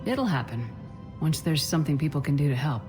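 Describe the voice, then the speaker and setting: A woman playing a composed, pragmatic military commander in a video game, with a measured, direct delivery. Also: low voice, lively intonation, over music. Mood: calm, reassuring.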